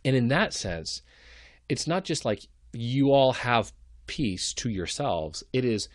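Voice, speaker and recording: A man's voice: mid-range voice, big pitch swings, slow, no room sound, clean studio sound. A man in his thirties giving a recorded theology lecture from a small home office, speaking earnestly and building emphasis through repeated phrases. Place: home office with bookshelves, indoors. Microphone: webcam at desk.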